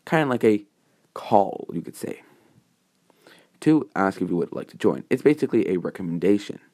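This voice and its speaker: A man's voice: fairly low pitch, big pitch swings, normal speed, dark tone. A man voicing a polite, reassuring headmaster in a story, mild and conversational with some hesitation.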